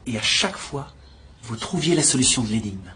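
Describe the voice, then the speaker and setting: An actor playing a courteous young police inspector in a dubbed drama, speaking warmly and respectfully. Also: male voice, medium pitch, lively intonation, a little fast, slight background noise.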